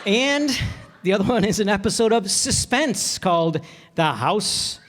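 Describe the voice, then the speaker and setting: High-voiced joking man in an echoey hall. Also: lively intonation, a little slow, a bit bright, slight background noise. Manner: joking, self-deprecating. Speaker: director introducing next month's shows. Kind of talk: casual live stage talk. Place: live hall with an audience. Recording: echoey hall with audience sound.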